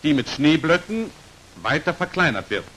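Guy doing formal 1940s training film narration, slightly high voice, bit of hiss on the old soundtrack. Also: sing-song, moderate pace, fairly bright tone, poor audio. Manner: precise, formal, instructional delivery.

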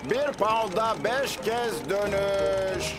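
A man's voice: high-pitched, extremely slow, noisy background. An actor playing a cheeky, blunt bull fairground showman in a children's cartoon, hawking in a sing-song way. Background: music.

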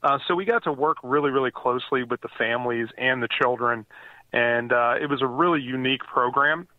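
A man's voice: medium pitch, a little fast, no echo. A man in his forties from the US East Coast, a guest author chatting casually in an interview and rambling with lots of fillers and restarts. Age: 40s. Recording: dry tinny phone-like line.

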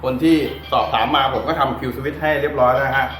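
A man's voice: medium pitch, steady tone, fairly quick, fairly bright tone, faint music behind. A man giving an informal, easygoing, hands-on tutorial in a chatty, unscripted way. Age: adult with a child. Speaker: DIY guitar electronics tutorial host. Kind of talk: casual hands-on demonstration talk.

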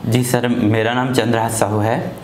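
A man in his twenties with an Indian accent answers interview questions politely and a little haltingly. His pitch is average. The recording has noticeable room echo and slight background noise. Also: level tone. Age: 20s. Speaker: patient giving a testimonial. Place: small clinic office, indoors.

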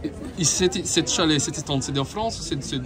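A man's voice: on the high side, normal speed. A man from Brazil with a Brazilian accent, vlogging casually as he walks an outdoor Christmas market and chats with vendors. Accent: Brazilian Portuguese. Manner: chatty, addresses viewers often, switches to careful French with vendors. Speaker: travel vlogger. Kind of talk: casual walking vlog, chatting with vendors. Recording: busy crowd noise outdoors. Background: market crowd.

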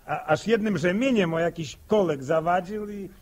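A young farm lad, a sociable suitor in a radio play. His male voice sits fairly high and is rough and husky. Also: moderate pace, a little mellow. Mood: chatty, amused. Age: young adult. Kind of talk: 1960s radio drama.

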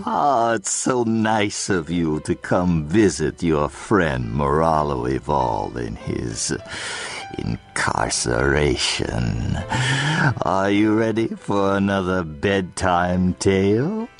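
A sinister old alien villain tells bedtime tales in a deep, gravelly voice. He is extremely slow, mock-sweet and theatrical, swooping in pitch with macabre relish over faint music. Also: lively intonation, exaggerated voice. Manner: theatrical, mock-sweet, savoring each word. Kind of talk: theatrical storytelling, audio comedy sketch.

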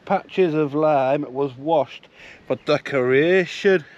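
Yorkshire man vlogging, unhurried and slightly muffled. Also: a little high. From Yorkshire, England. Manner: chatty, informal, jokey. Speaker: van-life vlogger. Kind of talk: casual vlog commentary to viewers. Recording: muffled, noisy on-location vlog audio.

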